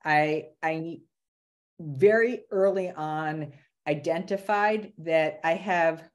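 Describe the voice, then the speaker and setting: A middle-aged woman from the Boston area, a career strategist talking casually as a guest on a video call, animated and restarting her words. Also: sits low, slow pace. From Boston area, USA. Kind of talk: casual interview talk. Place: home room with shelves.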